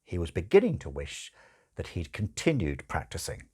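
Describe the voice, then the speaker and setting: A man reading a literary audiobook as narrator, expressive, with wry, deliberate phrasing. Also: low-pitched, breathy edge.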